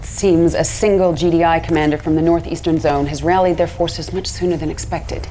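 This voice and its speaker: An actress playing a proud, resentful military commander of a fanatical army in a video game cutscene, intense and commanding. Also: female voice, deep voice, fairly even intonation, fairly quick, warm tone, a little hiss or hum, natural voice. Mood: grim, frustrated.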